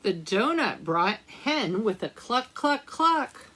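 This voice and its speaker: Slow-paced woman reading a children's storybook, higher voice. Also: sing-song, pushed and bright, husky. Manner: addresses young listeners with questions and asides. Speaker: librarian storytime reader. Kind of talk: read-aloud children's picture-book storytime.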